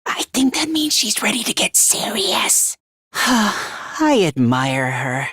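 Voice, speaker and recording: A man's voice: wildly swooping pitch, slow, pushed and bright, breathy edge, dry recording, studio quality, exaggerated voice. A man playing an anxious, self-deprecating, frail teenage boy in a video game, with a boyish, hesitant and emotionally volatile delivery. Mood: gloomy, subdued. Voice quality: high, boyish, androgynous. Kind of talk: video game voice acting, dramatic.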